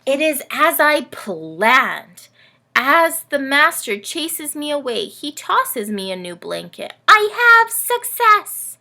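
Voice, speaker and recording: A woman plays a vain, melodramatic storybook camel who feels very sorry for himself. The voice is bright but heavily creaky, and every phrase is drawn out slowly and theatrically. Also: high voice, sing-song, pitched above the performer's own voice, full character voice. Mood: triumphant. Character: self-pitying, melodramatic, vain male camel of the Nativity.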